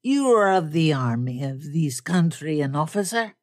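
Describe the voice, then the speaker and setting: A woman voicing a courtly old bearded foreign gentleman in an audiobook, with stilted, formal phrasing. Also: low-pitched, lively intonation, moderate pace, dry recording, clean studio sound. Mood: courteous, formal.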